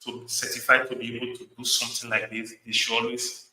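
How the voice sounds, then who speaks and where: A man's voice: medium pitch, flat delivery, fast talker, bright, forceful tone, echoey room, distant mic, low-quality recording. A man hosting a podcast interview, formal and wordy, often repeating himself. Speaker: podcast host.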